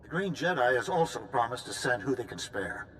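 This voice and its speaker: Resolute mid-pitched general. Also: male voice, very animated, a little fast, fairly bright tone, slight background noise, rough recording. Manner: authoritative, businesslike. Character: resolute male general briefing troops for war. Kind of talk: military briefing in video game.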